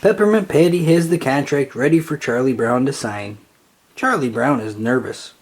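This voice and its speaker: A narrator reading a children's story aloud in an expressive way, acting out the dialogue. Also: medium pitch, normal speed, slightly rough. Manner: animated, acts out dialogue.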